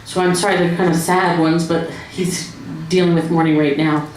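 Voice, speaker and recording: A woman speaking at a live poetry reading, with measured, deliberate phrasing. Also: on the low side, level tone, darkish tone. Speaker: poetry reader. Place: indoor room at a live event. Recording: very echoey room, faint noise.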